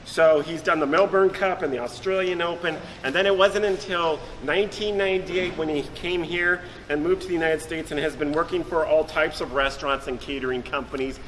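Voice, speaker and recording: Host: a man, slightly raised pitch, loose and chatty intro. Long reverb, faint background noise. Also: steady tone, fairly quick, few pauses, a bit bright. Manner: chatty and talkative, rambling. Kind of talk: casual introduction.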